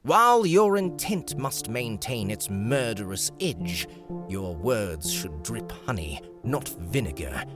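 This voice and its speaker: A man's voice: fairly low pitch, big pitch swings, over music. A man voicing a stern, impatient enchanter teacher in an audiobook. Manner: slow, lecturing.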